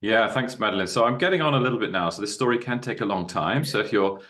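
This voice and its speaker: A man in his fifties with a bass voice, a guest on a podcast, telling his story in an animated, expansive way. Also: fast. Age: 50s. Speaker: guest, university co-founder. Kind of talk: podcast interview.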